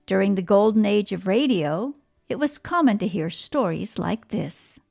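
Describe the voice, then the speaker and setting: A woman hosting an interview podcast, speaking in a measured, clearly phrased way. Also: mid-range voice, lively intonation, darkish tone. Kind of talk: scripted podcast introduction and interview.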